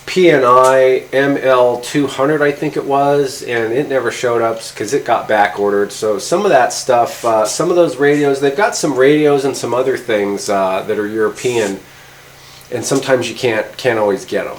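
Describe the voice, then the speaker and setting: A middle-aged man casually hosting a gear test vlog, talking in a halting, informal way. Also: mid-range voice, low-quality recording. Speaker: host of a radio gear review channel. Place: outdoors by a garage door, later a garage workshop. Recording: background ambient noise.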